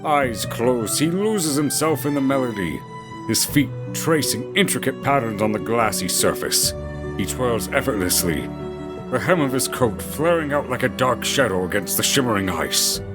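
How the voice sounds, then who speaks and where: A man's voice: medium pitch, lively intonation, normal speed, music in the background. A man reading scripted fantasy story narration as the narrator of an audio drama.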